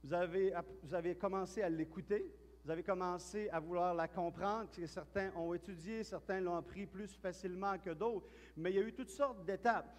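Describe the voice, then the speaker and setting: Man, Quebec French accent, pastor, high-pitched, chatty sermon, church hall, long reverb. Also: fairly even intonation, moderate pace. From Quebec, Canada. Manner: chatty, storytelling, with frequent restarts and repeated words.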